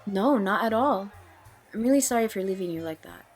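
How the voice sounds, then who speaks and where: A woman's voice: mid-range voice, lively intonation, brisk, slightly rough, soft background music. A woman playing a guarded, troubled young woman in an audio drama, speaking in a hesitant, plain way. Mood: wry, apologetic. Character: guarded, troubled young woman named Nicole, awkward and wary. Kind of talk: audio drama dialogue, naturalistic amateur acting.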